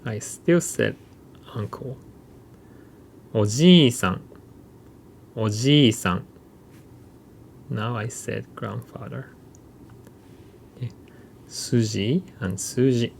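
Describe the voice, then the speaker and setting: A man's voice: mid-range voice, slow, gravelly, pauses often, slight background noise. A man teaching a recorded mini-lecture, carefully demonstrating pronunciation with repeated example words. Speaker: language instructor.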